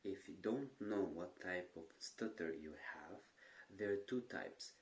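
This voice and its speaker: A young man sharing advice in a calm, earnest manner, with occasional brief stutters. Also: low-pitched, measured pace. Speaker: stuttering coach. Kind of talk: talking-head advice vlog.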